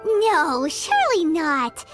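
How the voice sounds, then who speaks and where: A woman voicing a tiny, chatty, childlike fairy companion in a video game, with a squeaky, perky delivery. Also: wildly swooping pitch, slow pace, music in the background, exaggerated voice. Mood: pondering. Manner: perky, refers to self in third person. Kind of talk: video game character dialogue.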